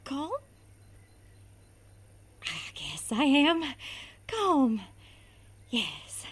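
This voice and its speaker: A frazzled cook who has turned eerily, dreamily calm in an audio drama. Her voice sits a little high and drifts in a dazed way. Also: extremely slow, full character voice.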